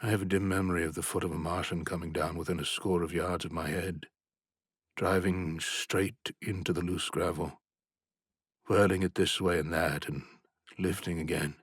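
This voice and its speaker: A very deep, husky male voice reads a classic novel aloud in a dramatic audiobook style. His delivery is intense and swells to urgent heights when the action peaks. The recording is close and completely dry, with no room sound. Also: fairly even intonation, normal speed, no room sound. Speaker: audiobook narrator.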